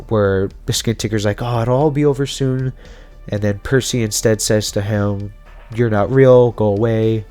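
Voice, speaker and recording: A man's voice: fairly low pitch, a little mellow. A man giving casual explainer commentary as a video host, talking through theories in an informal, speculative way. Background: background music.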